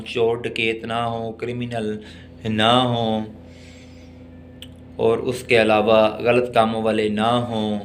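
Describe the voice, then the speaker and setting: Pakistani guy teaching law, talks real slow and deliberate, explains stuff like a tutor, bit of background noise. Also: mid-range voice. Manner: deliberate, word-by-word when reading, explanatory. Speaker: law lecturer. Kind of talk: exam-oriented law lesson, reading English text and explaining it.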